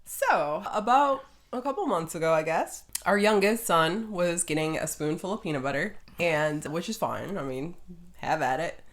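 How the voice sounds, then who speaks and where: A middle-aged woman with a lowish voice co-hosts a podcast. She chats casually and tells everyday anecdotes. Her delivery is matter-of-fact. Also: animated, normal speed. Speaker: podcast co-host.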